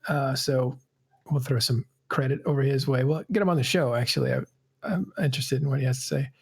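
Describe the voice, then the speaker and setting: A man's voice: medium pitch, fairly quick, vocal fry, husky. A man hosting a casual panel podcast, rambling and correcting himself as he goes. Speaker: podcast host.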